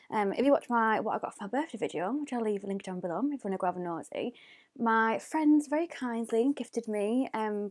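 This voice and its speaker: A woman, chatting through a makeup tutorial at home, often dropping to a hushed near-whisper. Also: mid-range voice, no room sound. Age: 20s. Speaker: beauty YouTuber. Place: home, indoors. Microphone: close mic.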